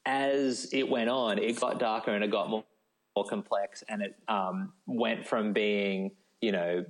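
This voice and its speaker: A man's voice: lowish voice, poor audio. An Australian man speaking as an interview guest, in a casual, discursive way peppered with "like" and "you know". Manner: informal, discursive, frequent 'like' and 'you know'.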